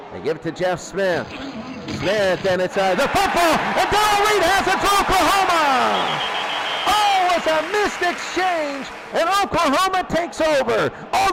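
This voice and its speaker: A man doing excitable live football play-by-play in a radio style, with conversational asides between plays. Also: high voice, background noise. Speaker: play-by-play commentator of a simulated football tournament.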